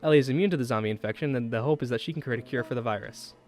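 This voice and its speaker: A young man narrates a scripted video essay over background music. He moves along at a brisk pace with an average-pitched voice, enunciating his lines in a measured, explanatory way and posing rhetorical questions as he goes. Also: darkish tone. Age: young adult.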